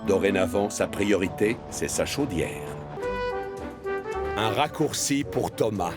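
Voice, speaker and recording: A man's voice: lowish voice, expressive, slow, over loud music. A man narrating a scripted children's cartoon story as the storyteller.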